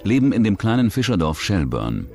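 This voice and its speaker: Deep-voiced man narrating true crime. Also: very animated, brisk, creaky, dark tone, faint music behind. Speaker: documentary narrator.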